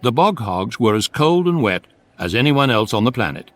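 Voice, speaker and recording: An actor playing a wry, deadpan British narrator of a space guide, delivering measured scripted comic narration for radio. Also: male voice, medium pitch, close to an everyday voice.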